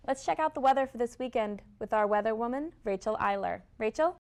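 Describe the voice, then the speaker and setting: A woman's voice: on the high side, a little fast. Young woman reading a scripted newscast. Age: young adult. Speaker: student news anchor and reporter.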